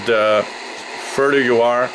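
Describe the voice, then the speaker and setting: A man giving a casual hands-on gadget review, explaining things plainly and haltingly as the reviewer. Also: mid-range voice. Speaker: product reviewer. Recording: noisy home recording, steady background noise.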